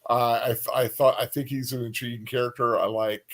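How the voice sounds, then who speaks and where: A man co-hosting a casual chat, speaking informally and a little haltingly. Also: medium pitch, level tone, a bit bright. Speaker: co-host.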